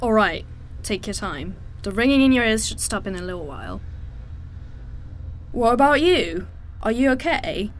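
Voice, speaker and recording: An actress playing a tough, cool, protective female fighter in an audio drama, deadpan and commanding. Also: mid-range voice, moderate pace, long pauses, natural voice. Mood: brisk concern.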